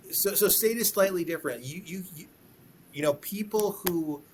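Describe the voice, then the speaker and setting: Emphatic man giving advice over noisy rumble. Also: normal speed, darkish tone. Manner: emphatic, repeats himself, poses sample questions and answers in quotes. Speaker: employment lawyer, podcast guest. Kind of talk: conversational advice in a podcast interview.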